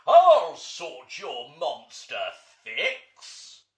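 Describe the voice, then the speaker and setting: A man voicing a big bad storybook monster, fierce and blustering, with a drawn-out, theatrical roar in a roomy, echoing space. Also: fairly high pitch, wildly swooping pitch, full character voice. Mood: menacing. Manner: roaring, drawn-out, theatrical delivery.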